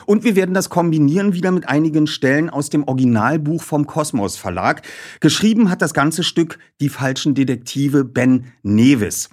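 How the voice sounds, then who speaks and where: A man's voice: on the high side, lively intonation, moderate pace, darkish tone. A man presenting to an audience and reading book passages aloud as a narrator. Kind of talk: casual hosting and audiobook narration.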